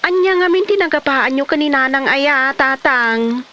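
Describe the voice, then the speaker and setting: A woman's voice: sits high, steady tone, moderate pace, bright, forceful tone, slight background noise. A woman acting an exasperated daughter questioning her quarrelling parents in an Ilocano comedy radio drama. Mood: exasperated, reproachful.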